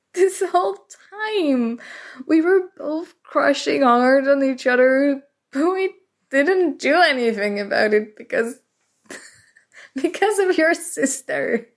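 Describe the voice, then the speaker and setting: A woman's voice: sits high, exaggerated voice. A woman acting as a nerdy, flustered college student in an intimate ASMR roleplay, speaking hesitantly and emotionally with stammers. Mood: giddy, overwhelmed. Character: nerdy, flustered young female college student confessing a crush.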